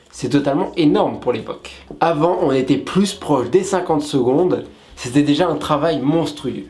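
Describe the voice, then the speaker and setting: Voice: mid-range voice, a little mellow. A co-host of a web show explaining things casually, with playful asides to the viewers.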